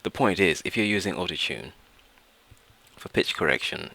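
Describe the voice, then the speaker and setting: A man presenting an informal software tutorial, explaining things step by step with measured asides. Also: sits low, lively intonation, vocal fry, husky, no room sound.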